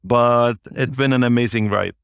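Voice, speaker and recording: A man of Lebanese origin, a native Arabic speaker, answering interview questions in a measured way with frequent pauses. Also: medium pitch, fairly even intonation, vocal fry, clear, resonant tone, no echo. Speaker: guest, business executive.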